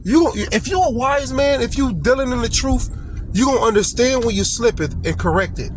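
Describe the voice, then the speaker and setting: A middle-aged man preaches a blunt, exhorting street sermon in a high voice. He drives his points home in rhythmic repeats, ending phrases with "man" and "okay." Clear traffic and street noise run underneath him. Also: expressive, moderate pace. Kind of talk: informal sermon.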